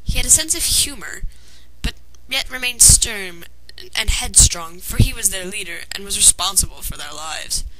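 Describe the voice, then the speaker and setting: A woman's voice: mid-range voice, lively intonation, moderate pace, few pauses, bright, forceful tone. A woman reading a fan fiction story aloud as narrator, in a dramatized way. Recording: noisy amateur home recording.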